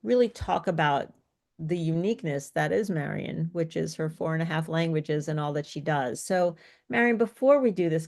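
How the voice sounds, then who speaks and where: A woman's voice: lowish voice, darkish tone. A woman speech coach leading an online workshop, explaining and praising participants in an encouraging way. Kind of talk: interactive online workshop teaching.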